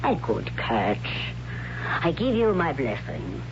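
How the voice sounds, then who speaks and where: An imperious high priestess with a deep voice, stately and full of cutting contempt. She is heard in a 1970s radio drama, dull in tone with clear background noise. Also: wildly swooping pitch, takes it slowly, heavily acted. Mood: haughty. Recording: noisy, dull 1970s radio broadcast with hum.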